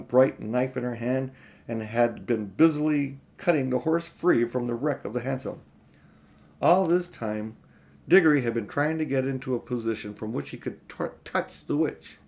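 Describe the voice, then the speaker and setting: A man's voice: medium pitch, a little mellow. A man reading an audiobook, narrating a storybook and adding informal asides to the listener. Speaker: audiobook reader.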